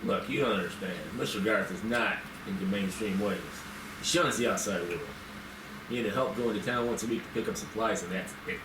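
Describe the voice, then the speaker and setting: A man's voice: lowish voice, fairly quick, lightly acted. A man playing a guarded, flustered groundsman in his fifties in an amateur screenplay table read. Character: nervous, evasive 55-year-old male manor groundsman. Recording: echoey, distant mic, clear noise.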